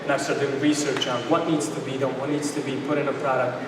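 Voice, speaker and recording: A man's voice: medium pitch, level tone, quick pace. Echo-heavy, distant man with Bosnian accent. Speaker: interview guest, supplement company founder. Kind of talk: conversational interview storytelling. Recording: echoey hall, distant mic, noisy ambience.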